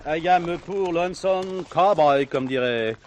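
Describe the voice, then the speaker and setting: A man acting a suave, flirtatious playboy gentleman in a French stage play, playful and effusive. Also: a little high, slow, highs cut off. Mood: jovial, boastful. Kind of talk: French boulevard theatre acting. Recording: noisy old theatre play recording.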